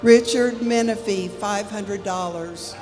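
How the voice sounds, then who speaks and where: A woman presenting donations and scholarships at a community ceremony, reading names slowly at a podium microphone and correcting herself politely. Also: medium pitch. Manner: reads names slowly with small apologetic corrections. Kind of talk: formal announcing into a microphone. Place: large indoor event hall. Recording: long hall reverb, music playing. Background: background music.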